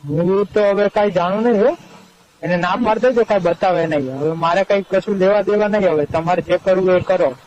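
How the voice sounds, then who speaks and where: A man's voice: high voice, a little fast, darkish tone, a little hiss or hum, natural voice. A man playing a nervous, dismissive young lover who wants out, speaking colloquially in a staged phone-call drama. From India. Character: curt, nervous young lover washing his hands of her. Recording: dull lo-fi phone call recording.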